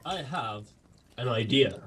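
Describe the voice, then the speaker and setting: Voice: medium pitch, expressive, slow pace, a bit bright, slight background noise. A performer playing an eccentric, gluttonous, unhinged detective in a comic radio play, grandiloquent, with a British accent. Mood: lofty, whimsical. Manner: grandiloquent, theatrical.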